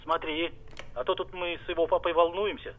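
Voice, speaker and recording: An actor playing a breezy, pushy boss in a screen drama, jovial with a faint threat, heard through a telephone. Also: male voice, a little high, flat delivery, a little fast, soft background music. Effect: telephone filter. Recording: thin, muffled phone line.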